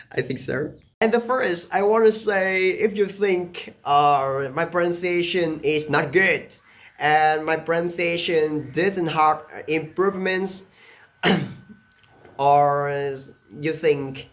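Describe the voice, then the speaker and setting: A young Vietnamese man with a slightly higher-pitched voice chats to camera in English, his second language. He overpronounces every word and keeps checking in with "okay?" between fillers. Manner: deliberately exaggerated, emphatic pronunciation; frequent "okay?" tags and "uh" fillers. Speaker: English-learning video creator. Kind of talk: casual talk to camera.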